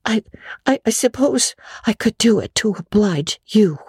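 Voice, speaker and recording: A woman voicing an earnest, imaginative young girl in a storybook reading, halting and full of feeling. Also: mid-range voice, lively intonation, a little mellow, slightly rough, no room sound, studio quality, pitched above the performer's own voice, exaggerated voice. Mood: earnest, ashamed. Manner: halting, repeats words for emphasis.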